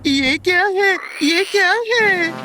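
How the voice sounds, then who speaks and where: High-pitched little cartoon boy, excitable, curious, swooping intonation, dramatic exclamations. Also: slow, heavily acted. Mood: alarmed. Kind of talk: children's cartoon dubbing. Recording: music behind the voice.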